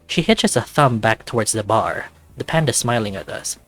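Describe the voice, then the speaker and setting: A man reading a visual novel aloud as an expressive narrator. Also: fairly low pitch, normal speed. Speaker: YouTube visual novel reader. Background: music.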